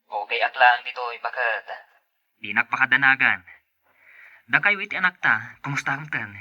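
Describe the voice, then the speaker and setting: A man's voice: medium pitch, lively intonation, moderate pace, pushed and bright, no room sound, dull audio. A man playing an earnest, hard-pressed working husband and father in a podcast drama. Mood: tender, determined.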